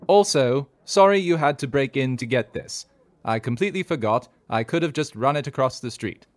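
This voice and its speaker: An actor playing a level-headed, gently droll Victorian gentleman in an audio fiction comedy, diplomatic and wry. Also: mid-range voice, lively intonation, moderate pace, dry recording, natural voice. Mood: dry, measured.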